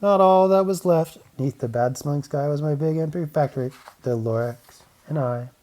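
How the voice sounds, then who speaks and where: A man narrating a rhyming children's audiobook, with an expressive, emphatic and rhythmic dramatic delivery. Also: on the high side, moderate pace, muffled recording. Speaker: audiobook reader.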